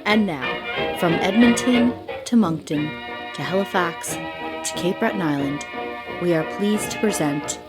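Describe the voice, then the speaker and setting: Lowish-voiced woman announcer over loud guitar. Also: a little slow. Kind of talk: scripted introduction to an audio play. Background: loud guitar music.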